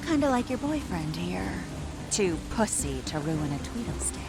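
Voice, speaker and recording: A woman's voice: lowish voice, big pitch swings, moderate pace, vocal fry, loud music behind the voice. A woman voicing a cocky, cruelly playful gangland enforcer in a video game, mocking and sardonic, with slangy, teasing lines. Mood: smug, taunting.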